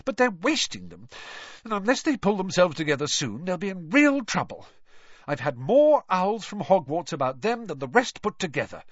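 A man's voice: fairly high pitch, huge pitch swings, normal speed, no echo, dull audio, voice pushed up. A man voicing an exasperated, bad-tempered witch mother, scolding and fretful, as an audiobook character. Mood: irritable scolding.

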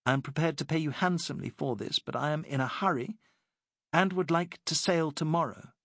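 A man voicing a poised British woman working as a secret agent, speaking crisply and persuasively. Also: a little high, brisk, a bit bright, no echo, studio quality, pitched above the performer's own voice.

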